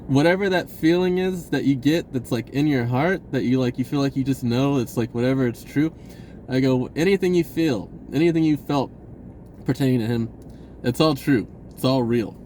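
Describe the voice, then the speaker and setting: A man telling a personal story in a casual, rambling vlog style, acting out bits of dialogue in an animated way. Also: mid-range voice, moderate pace, a little hiss or hum, rough recording. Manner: animated, acts out dialogue, chuckles often.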